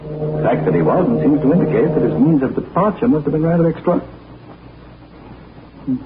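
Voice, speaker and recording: An actor playing a refined gentleman detective in a 1940s radio drama, with clipped, polished diction. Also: a little high, expressive, moderate pace, natural voice. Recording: scratchy, tinny 1940s radio broadcast.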